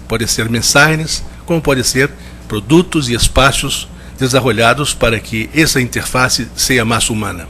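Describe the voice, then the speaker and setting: A man from Brazil speaking with a strong Brazilian Portuguese accent, giving a measured, reflective conference talk and often restarting as he searches for words. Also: mid-range voice, a bit bright, slight background noise. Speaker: guest lecturer. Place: lecture hall.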